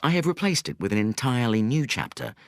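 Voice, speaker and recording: A man reading an audiobook in a measured, articulate way, with deliberate pauses. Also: mid-range voice, big pitch swings, no room sound, clean studio sound. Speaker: audiobook narrator. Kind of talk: scripted audiobook narration.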